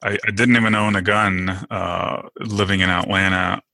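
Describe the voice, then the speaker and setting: A man's voice: low voice, little pitch movement, normal speed, creaky, sharp tone, no room sound, studio quality. A middle-aged man from Turkey, answering interview questions in a casual, hesitant way with many fillers and restarts. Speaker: podcast guest.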